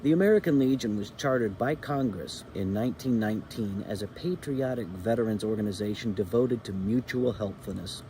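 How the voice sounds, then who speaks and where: A man in his fifties from Indiana, an Army veteran introducing his veterans group and reflecting earnestly at a measured pace. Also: lowish voice, warm tone, slight background noise, poor audio. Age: 50s.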